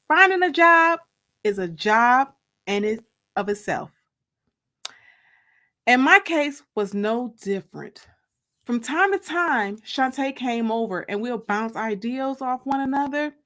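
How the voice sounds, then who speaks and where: Slow, higher-pitched American woman. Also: expressive, fairly bright tone. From Detroit, United States. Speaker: host and author reading her own book. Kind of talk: livestream chat and dramatic book reading.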